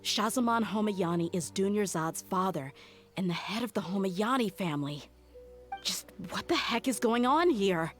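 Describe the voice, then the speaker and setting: A woman's voice: medium pitch, expressive, moderate pace, soft background music, lightly acted. A woman playing a loyal, frank mercenary in a video game, with a firm and resolute manner. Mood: baffled. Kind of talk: video game character acting.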